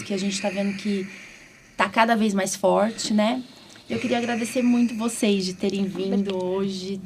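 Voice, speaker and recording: A woman from São hosting a podcast in an informal, chatty way, often checking for agreement. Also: on the high side. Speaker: podcast host, doctor. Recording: clearly audible background room noise.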